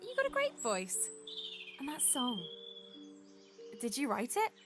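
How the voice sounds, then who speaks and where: Blunt, high-voiced rebellious girl. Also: huge pitch swings, takes it slowly, over loud music, heavily acted. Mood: encouraging. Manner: blunt, impulsive, swears freely. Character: rebellious, blunt young maid, headstrong teenage girl. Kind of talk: naturalistic video game acting.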